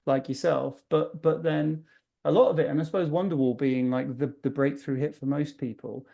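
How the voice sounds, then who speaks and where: A man hosting a podcast, chatting in a friendly, rambling way with quick asides. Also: on the high side, fairly even intonation, vocal fry, mellow. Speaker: podcast host. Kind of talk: casual chat. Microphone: video call.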